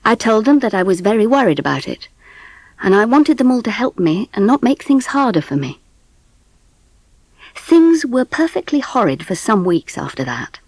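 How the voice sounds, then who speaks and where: A woman playing a gentle middle-class mother who narrates her family's story in a dramatised audiobook. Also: fairly high pitch, very animated, normal speed, dry recording. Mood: sombre, steady.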